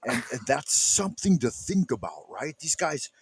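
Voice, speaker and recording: A man's voice: mid-range voice, big pitch swings, normal speed, slightly rough, no echo. A middle-aged man hosting a casual talk, rambling and prone to interjecting. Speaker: show host. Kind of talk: casual chat.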